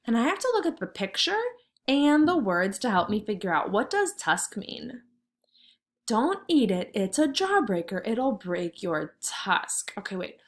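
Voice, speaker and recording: A woman teaching kindergarten through a read-aloud, instructing and thinking aloud in simple words for young children. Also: medium pitch.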